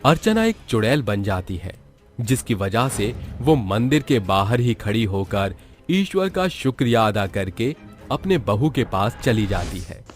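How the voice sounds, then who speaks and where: A man narrates a dramatic cartoon story in a low voice with lively, swinging intonation. The delivery is scripted storytelling, with music playing behind it. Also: a little slow. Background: background music.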